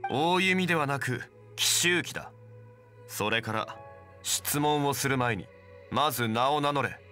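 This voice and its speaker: Game role, calm scholarly gentleman, polite and measured, crisp bright timbre. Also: mid-range voice, soft background music. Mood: stern. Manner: composed, formal, measured. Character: calm, refined, erudite gentleman adventurer. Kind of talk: Japanese game voice acting.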